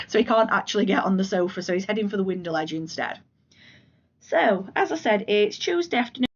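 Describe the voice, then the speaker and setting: Hoarse woman from the UK, rambling self-deprecating crafting vlog, slightly dull lo-fi sound, home living room. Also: medium pitch, lively intonation, brisk, long pauses. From United Kingdom. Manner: rambling, self-deprecating, talks to her cat. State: hoarse from a cold. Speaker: flosstube vlogger. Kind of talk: casual chatty vlog.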